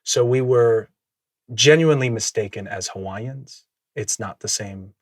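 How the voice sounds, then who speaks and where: A man whose first language is Samoan, telling his story as a podcast guest in a reflective, measured way, often laughing. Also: mid-range voice, no echo, clean studio sound. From Minnesota, USA. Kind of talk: casual conversational storytelling.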